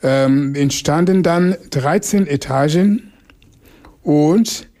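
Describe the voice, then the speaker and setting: A man, a Makonde speaker, talking through an interview in a halting way with many fillers and restarts. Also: on the high side, warm tone. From Mozambique. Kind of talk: casual spoken commentary on an interview.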